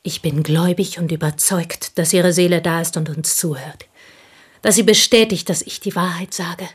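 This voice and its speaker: An actress in a German radio play, playing an intense, embittered provincial Frenchwoman making a fervent, halting confession in a husky, breathy voice. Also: fairly low pitch, fairly even intonation. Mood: pleading, fervent. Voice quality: husky, breathy, dropping into whispers. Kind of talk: German radio play acting, dramatic monologue.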